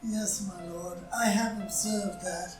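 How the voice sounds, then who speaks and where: A woman playing an ideal butler in a comedy play reading, deadpan, deferential and formally measured. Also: mid-range voice, lightly acted. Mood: deadpan deference. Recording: roomy, distant mic, music.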